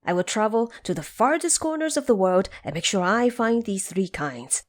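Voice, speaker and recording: A woman's voice: medium pitch, animated, moderate pace, natural voice. A woman voicing a proud male monkey ruler in a storybook audio drama, earnest and regal in delivery. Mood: resolved.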